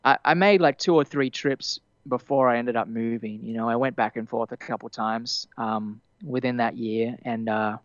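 A young man with an Australian accent softened by years in America, chatting loosely in an interview with plenty of fillers and restarts. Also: mid-range voice, fairly even intonation, moderate pace, hardly any pauses, no echo, dull audio. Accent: Australian, partly Americanized. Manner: rambling, many fillers and restarts, laughs easily. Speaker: musician guest. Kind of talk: casual interview chat.

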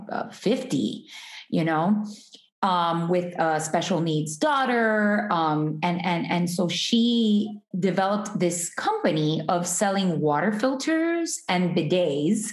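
A woman from Miami talks unhurriedly as a guest, laughing easily and circling back with ums and restarts. Her voice sits mid-range and sounds roomy, with noticeable echo around it. The talk is casual and personal. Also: mid-range voice. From Miami, USA. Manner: chatty, laughs often, many ums and restarts. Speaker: guest, artist and entrepreneur. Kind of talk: casual interview conversation.

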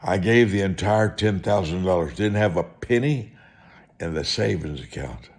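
A man preaching in a personal testimony style, folksy and deliberate, repeating phrases for emphasis. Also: low-pitched. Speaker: preacher, evangelist.

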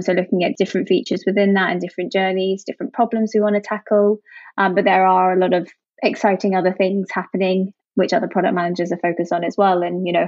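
A woman's voice: on the low side, a little mellow, no room sound. A young woman from Jersey, a product manager and interview guest, answering upbeat and chatty with lots of "actually" and "you know". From Jersey, Channel Islands. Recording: dull, dry sound.

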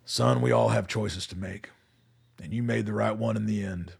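Male actor as weary army colonel, very husky, deepish voice, fatherly authority, measured radio drama delivery. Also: full character voice. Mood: firm, reassuring. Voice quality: gravelly, husky.

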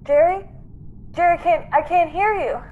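A woman playing a brave young stowaway in a radio play, speaking tenderly and haltingly with earnest, tearful melodrama. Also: very high voice, phone-quality sound, slight background noise. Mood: sorrowful farewell. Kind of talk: classic radio drama acting.

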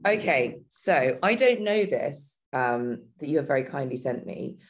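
Husky, hoarse woman. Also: extremely low pitch, expressive, a little slow. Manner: chatty, self-deprecating. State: hoarse from heavy voice use. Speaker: guest wine communicator.

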